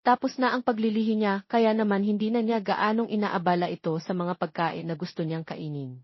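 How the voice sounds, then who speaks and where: Woman narrating a love story, mid pitch, close and dry, slightly dull audio. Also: steady tone, fairly quick, no echo. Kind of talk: scripted romance story narration.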